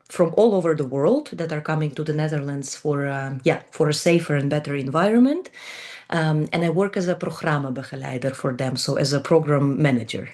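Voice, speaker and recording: A woman's voice: low voice, darkish tone. A Ukrainian woman in her twenties whose first language is Russian, speaking fluent but non-native English as an interview guest, with frequent ums and repeated words. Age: 20s. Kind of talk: casual interview talk.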